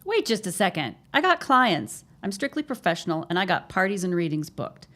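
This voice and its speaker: A streetwise fake medium in an audio drama, blunt and slangy. Her voice sits a little low. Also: big pitch swings, fairly quick, fairly bright tone. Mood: defiant. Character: brassy female con-artist fake medium.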